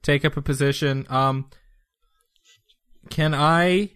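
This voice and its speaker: A man's voice: fairly high pitch, fairly even intonation, slow pace, fairly bright tone, clean tone, no room sound. A man of twenty-six chatting casually as a player in a tabletop game, joking and teasing.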